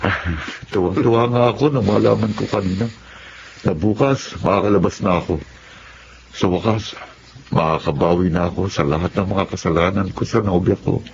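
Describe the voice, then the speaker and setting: A man's voice: low voice, moderate pace, creaky, dull audio, a little hiss or hum. An actor in a Filipino radio drama playing a remorseful businessman boyfriend who is sick with covid. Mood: grateful, earnest.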